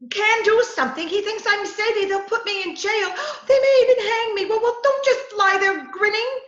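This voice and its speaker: A woman playing an excitable, headstrong young reporter in an old-time radio comedy-mystery, with theatrical, breathless exclamations. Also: extremely high pitch, normal speed. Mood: alarmed. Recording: echoey, muffled home video-call recording.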